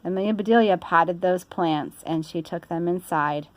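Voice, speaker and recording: A woman's voice: on the low side, moderate pace, no room sound. A woman reading a children's story aloud in an informal way. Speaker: storybook reader.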